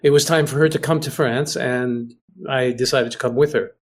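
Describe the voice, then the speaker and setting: A man who grew up in Greece, speaking as an interview guest over a video call, halting and often restarting as he searches for words. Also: mid-range voice, fairly even intonation. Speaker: guest, researcher. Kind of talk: casual conversation.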